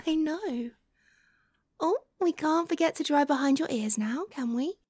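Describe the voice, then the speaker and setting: A woman playing a doting, teasing motherly figure in a soft-spoken ASMR roleplay, coaxing and playful with breathy near-whispers. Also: high-pitched, expressive, unhurried, dry recording. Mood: fussing, tender.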